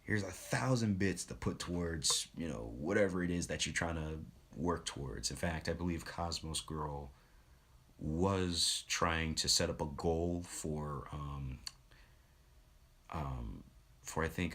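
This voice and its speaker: A man talking casually as a live streamer, giving shout-outs in a halting, rambling, earnest way. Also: low voice, slightly rough.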